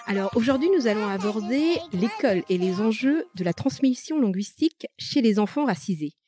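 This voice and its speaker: A woman's voice: medium pitch, lively intonation, over music. A woman from Madagascar whose first language is Malagasy, hosting a conversational podcast interview with frequent fillers. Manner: friendly, many fillers, quick laughs. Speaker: podcast host.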